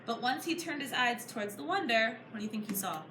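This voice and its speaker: A woman reading a storybook aloud to a class of children, pausing to put questions to them in an encouraging, teacher-like way. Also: on the high side, animated. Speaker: author reading her book to a class. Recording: echoey room, clear background noise. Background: children answering, room noise.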